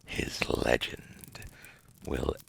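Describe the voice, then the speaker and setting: A man playing a seductive, smug ancient demon dealmaker in an ASMR roleplay, slipping between breathy whispers and a gravelly murmur with slow, theatrical, formal delivery. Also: fairly bright tone. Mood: smug, ominous.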